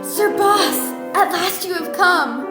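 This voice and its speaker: Radio-play sidekick: urgent young male aide, voiced by a woman, high pitch, plaintive, roomy sound with music. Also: takes it slowly. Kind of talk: stage-style radio play acting. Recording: echoey room, music behind.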